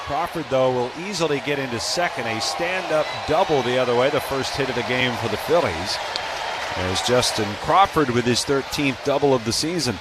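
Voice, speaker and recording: A male American baseball play-by-play announcer on a live radio broadcast, with clear ambient noise behind him. His voice sits at a medium pitch as he describes the game in radio commentary style. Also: normal speed, a loud crowd.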